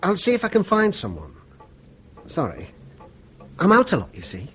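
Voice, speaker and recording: A man's voice: sits high, wildly swooping pitch, normal speed, a bit bright, full character voice. An actor playing an easygoing, self-deprecating British working man in his sixties, deferential and a little hesitant, in a radio play. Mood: chatty, easygoing. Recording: dull, noisy radio play recording.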